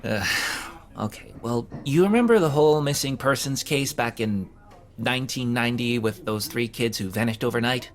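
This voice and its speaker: A man's voice: medium pitch, normal speed, close to an everyday voice. A man playing an awkward, hapless young man in an audio drama, talking in a hesitant, sheepish way full of fillers. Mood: embarrassed, defensive. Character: awkward, hapless young man who keeps getting fired. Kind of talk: conversational audio drama acting.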